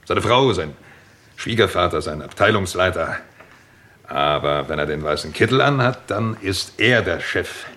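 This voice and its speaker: A deep-voiced, insistent theorizer in a radio crime drama. He talks at length as a detective's colleague, building his case piece by piece and pressing it persuasively. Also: expressive, a little slow, sharp tone, heavily acted. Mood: insistent, speculative. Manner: talkative, persuasive, builds arguments step by step. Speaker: actor in a crime radio play.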